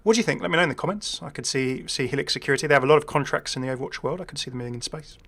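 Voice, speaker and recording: A man's voice: a little high, very fast, gravelly, a bit bright. A man hosting a gaming video with casual, unscripted commentary. He is chatty and hesitant, thinking aloud and dropping wry asides.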